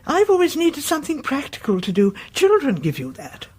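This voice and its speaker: An actress playing a genteel, sympathetic but prying older neighbour in a radio drama, with a British accent and a polite, coaxing manner. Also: female voice, sits high, wildly swooping pitch, a little fast, darkish tone. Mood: probing, tactless.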